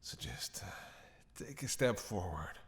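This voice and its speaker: Man playing a slow-drawling, cocky vampire captive. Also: on the low side, animated. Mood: flirtatious coaxing. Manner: sarcastic, teasing, drawling. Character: captive male vampire, cocky, witty, flirtatious, menacing tease. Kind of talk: dramatic roleplay monologue to the listener.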